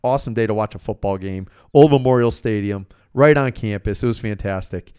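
Clear-voiced man telling stories on a sports podcast. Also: fairly low pitch, a little fast, darkish tone. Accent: American. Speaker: sports betting podcast host and basketball coach. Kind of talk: casual solo podcast talk and storytelling.

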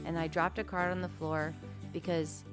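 Animated self-deprecating woman podcast host. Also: on the low side, normal speed, music in the background. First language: Greek. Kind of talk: casual chat.

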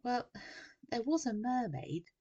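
A woman's voice: a little high, sing-song, measured pace, dull audio, pitched above the performer's own voice. A woman voicing a nervous, hesitant young schoolboy who stammers in a storybook. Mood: hesitant, sheepish.

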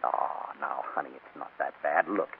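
An actor playing a coaxing, easily flustered husband in a 1940s radio comedy, on a tinny old recording. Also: male voice, extremely low pitch, normal speed, creaky, slight background noise. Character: wheedling husband caught in a fib by his wife.

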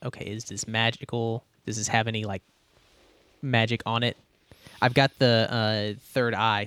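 A man's voice: lowish voice, fairly bright tone, clean tone, no room sound. A man chatting casually as a player in a tabletop game, talking through his options aloud.

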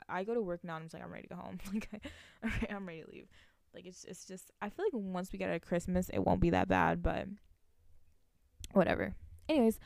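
A woman's voice: mid-range voice, vocal fry, mellow, dry recording, clean studio sound. A woman in her late teens chatting casually to viewers in a rambling, giggly, slangy way, thinking aloud as she goes. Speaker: vlogger, college student. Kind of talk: casual solo chat to viewers.

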